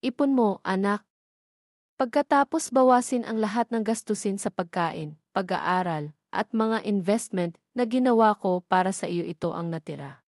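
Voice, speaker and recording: A woman's voice: medium pitch, moderate pace, a little mellow, no echo, studio quality, lightly acted. A woman narrator voicing a cold, controlling older rich father in an audio drama, calculating and condescending. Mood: cold calculation.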